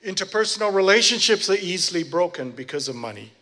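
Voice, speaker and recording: Male church interpreter with a fairly high voice, renders each phrase quickly and corrects himself as he goes. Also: expressive, sharp tone. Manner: searches for words and corrects himself mid-phrase.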